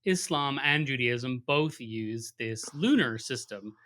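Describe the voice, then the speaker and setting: A man's voice: medium pitch, animated, slow, a crowd in the background. A man hosting a podcast interview, friendly and rambling, asking questions and joking about himself. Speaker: podcast host. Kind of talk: casual interview talk.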